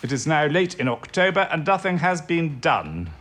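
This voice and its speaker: A man's voice: a little high, big pitch swings, fairly bright tone, slight background noise. An actor playing a stern, accusing government official in a wartime audio drama, officious and reproving, leaning on his words. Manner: officious, reproving, deliberate emphasis.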